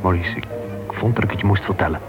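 An actor playing an earnest, disapproving club member in a Dutch radio play, speaking gravely and insistently. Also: male voice, low voice, fast, lightly acted. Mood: urgent, grave. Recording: dull old radio recording with music.

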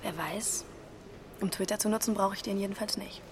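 A woman's voice: mid-range voice, fairly even intonation, brisk, a little hiss or hum, close to an everyday voice. An actress playing a cool, shrewd woman in her twenties in a radio drama, direct and challenging in natural conversation. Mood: self-assured. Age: 20s.

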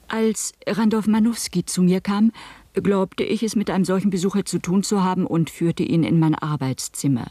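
A woman's voice: on the low side, warm tone, dry recording, lightly acted. An actress playing an older woman, a professor's wife, giving careful, hesitant and precise testimony in a 1970s radio play. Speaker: witness at a hearing.

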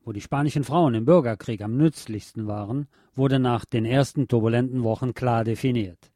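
A man reading a scripted historical feature as a radio presenter, in a measured, factual documentary manner. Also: medium pitch, warm tone, no echo, clean studio sound. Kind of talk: scripted narration.

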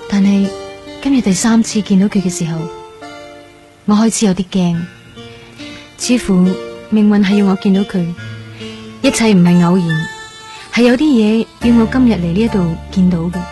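A woman's voice: mid-range voice, normal speed, pauses often, dark tone, faint music behind, natural voice. An actress playing a dreamy, earnest young woman in a radio drama, speaking Hong Kong Cantonese. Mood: wondering.

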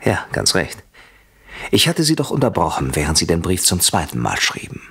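A vengeful husband in a radio play, very low and husky. His pitch swings widely as he moves from mock courtesy to menacing whispers, always deliberate and coldly methodical. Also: sing-song, a bit bright, exaggerated voice. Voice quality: husky, often dropping to a whisper. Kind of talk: German radio play acting.

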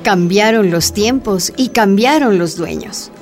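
A woman's voice: medium pitch, measured pace, over music. A woman narrating a radio drama in a scripted storytelling style.

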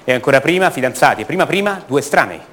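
An actor playing a bitter, restless grown son back in his family home, delivering a halting radio theatre monologue that repeats words and confides in the listeners. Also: medium pitch, expressive, a bit bright. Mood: questioning, cynical. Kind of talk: stage-play monologue acted for radio theatre.

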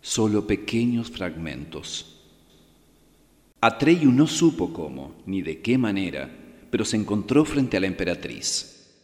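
A man's voice: lowish voice, big pitch swings, a little slow, a little mellow. A man playing a solemn storyteller, narrating a fantasy radio drama in a dramatic scripted style. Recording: long reverb with music behind.

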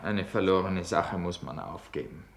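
An actor playing a prim, pompous, disapproving minister in a 1950s German radio play. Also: male voice, low-pitched, normal speed, gravelly. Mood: muttered disapproval. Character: disapproving, pompous male minister for extraterrestrial territories.

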